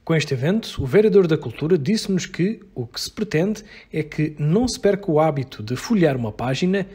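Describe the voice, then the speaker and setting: A man's voice: on the high side, brisk, slight background noise. A male reporter with a European Portuguese accent reading a scripted news voice-over. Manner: lively scripted read.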